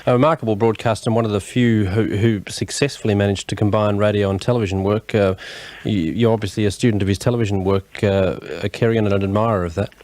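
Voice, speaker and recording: A man's voice: fairly low pitch, fairly even intonation, fast, a little mellow. A middle-aged man with an Australian accent, hosting a conversational live radio interview. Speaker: radio host.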